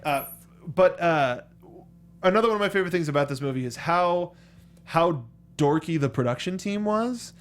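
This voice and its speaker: Geeky, enthusiastic man with a higher voice. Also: expressive, moderate pace. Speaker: co-host of a movie review show. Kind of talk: casual fan discussion.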